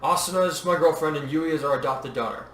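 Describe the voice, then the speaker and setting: A man's voice: a little high, quick pace, fairly bright tone, natural voice. A man voicing an earnest, protective young warrior in a story, plain-spoken and determined. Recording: roomy echo, distant mic, faint noise.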